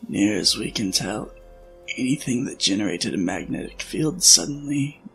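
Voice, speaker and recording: An actor playing an old, weary scientist in a science fiction audio drama, speaking in a measured, explanatory way with a creaky voice. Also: male voice, lowish voice, expressive, fairly bright tone. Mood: grave, explanatory. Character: old, worn male scientist, weary, reflective friend. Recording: music under the voice.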